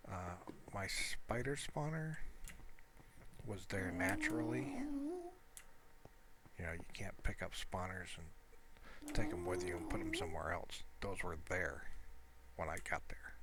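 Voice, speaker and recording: Low-voiced man in noisy gameplay recording. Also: sing-song, unhurried, long pauses. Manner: informal, hesitant, thinking aloud, mildly profane. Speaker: gamer recording gameplay commentary. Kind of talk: casual rambling gameplay commentary. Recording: loud noise with clicks behind voice.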